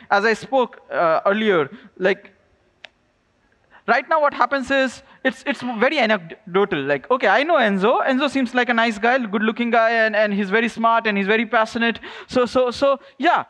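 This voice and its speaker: A man giving an informal, energetic keynote, speaking in a stop-start way with repeated words and checking in with "right?". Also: very high-pitched. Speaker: conference keynote speaker, programs lead. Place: stage in a large glass-walled event hall. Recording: echoey hall with long reverb.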